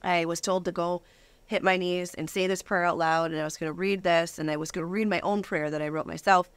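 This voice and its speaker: Woman, lowish voice, dry recording, casual storytelling, interview guest. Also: fairly even intonation, fairly quick, clean tone, no room sound. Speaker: interview guest telling a personal testimony. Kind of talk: casual conversational testimony.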